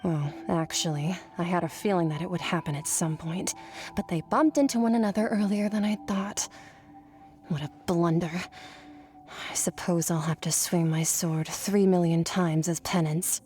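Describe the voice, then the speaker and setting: A woman voicing an aloof, blunt master swordswoman in a video game, speaking in a deadpan, matter-of-fact way. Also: lowish voice, moderate pace, soft background music, natural voice. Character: aloof, blunt female master swordswoman, coolly self-assured. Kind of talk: scripted video game dialogue.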